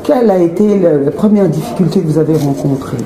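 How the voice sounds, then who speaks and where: A woman's voice: fairly low pitch, quick pace. A woman interviewer asking questions in a hesitant way, with fillers. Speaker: interviewer host. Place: small indoor studio room. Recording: roomy sound with faint ambient noise.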